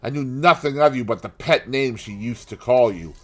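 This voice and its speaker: A man voicing a grim, vengeful sailor in an audiobook, growling threats in a forceful, menacing way. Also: mid-range voice, normal speed, voice pushed up, close to an everyday voice.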